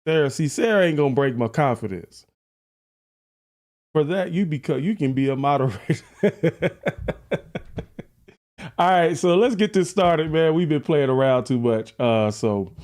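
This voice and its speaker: A man with a deep voice hosting a live reaction stream, chatty and laughing at his own jokes. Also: expressive, unhurried, long pauses, darkish tone. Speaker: livestream reaction host. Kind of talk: casual live-stream chat.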